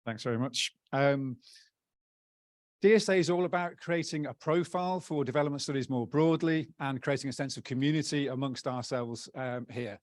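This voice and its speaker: A man's voice: mid-range voice, no room sound, studio quality. Upbeat man giving thanks at a podium. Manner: upbeat, joking, halting with many fillers. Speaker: outgoing association president. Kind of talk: closing remarks and thanks at a conference. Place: conference hall plenary.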